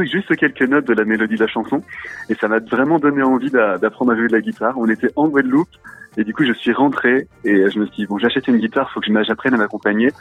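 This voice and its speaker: Fast-talking man on a tinny phone line. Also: medium pitch, husky, dry recording. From France. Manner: friendly, eager, many fillers. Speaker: singer-songwriter guest. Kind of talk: radio interview.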